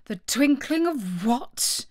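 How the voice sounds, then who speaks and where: A woman's voice: high-pitched, animated, takes it slowly, a little mellow, full character voice. A woman voicing an impatient, threatening king in a storybook, speaking sharply and with command. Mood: angry, sharp. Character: bossy, impatient, threatening male king judging a trial.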